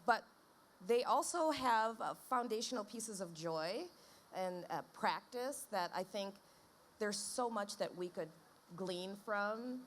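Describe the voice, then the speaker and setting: A woman on a panel, speaking in a thoughtful, measured way. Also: mid-range voice, animated, a little hiss or hum. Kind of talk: panel discussion.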